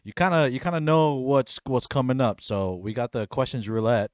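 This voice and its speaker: A man's voice: mid-range voice, normal speed, a little mellow, smooth, no echo, clean studio sound. A young adult man hosting a podcast, talking casually, jokingly and repeating himself as he goes. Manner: rambling, repeats himself, jokey. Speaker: podcast host.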